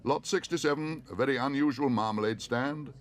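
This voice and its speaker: A man voicing a brisk middle-aged auctioneer calling out bids in a storybook reading. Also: mid-range voice, pitched above the performer's own voice, natural voice.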